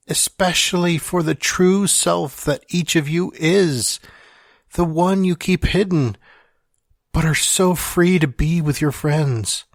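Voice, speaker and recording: A man as a wry dying man, lighter-pitched. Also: dry recording, clean studio sound. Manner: wry, confessional, sighing. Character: wry dying man reading out his farewell letter. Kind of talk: dramatic monologue in fiction podcast.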